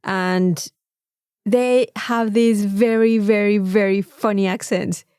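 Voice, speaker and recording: A woman with a Mexican accent and Spanish as her first language, chatting casually as a podcast co-host and telling stories with quick interjections. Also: medium pitch, steady tone, darkish tone, smooth, no echo, clean studio sound. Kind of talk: casual chat.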